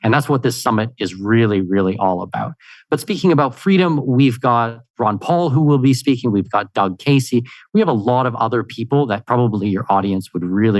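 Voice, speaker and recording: A man from Canada, a podcast guest answering questions in an upbeat, energetic way, with plenty of "you know" fillers. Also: mid-range voice, no echo, rough recording. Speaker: guest, expat consultant. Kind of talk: conversational interview answers.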